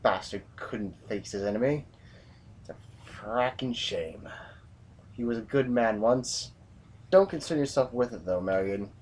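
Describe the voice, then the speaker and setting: A man's voice: on the low side, expressive, measured pace, long pauses, darkish tone, a little hiss or hum. A man acting a hardened, brooding military commander with a grave, formal delivery in a science fiction audio drama. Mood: grim, brooding.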